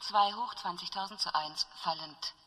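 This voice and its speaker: An actress playing a starship's calm, formal announcer in a science-fiction radio play. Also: female voice, on the low side, moderate pace. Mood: official calm. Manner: formal, soothing, impersonal announcements. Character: calm, official female starship announcer counting down odds. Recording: long reverb like ship intercom, music behind.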